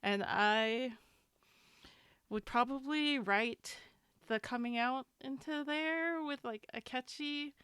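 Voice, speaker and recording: A woman chatting casually, retelling things in a halting, filler-heavy way with small laughs. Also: high-pitched, fairly bright tone, smooth, dry recording. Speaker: podcast panelist. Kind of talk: casual chat.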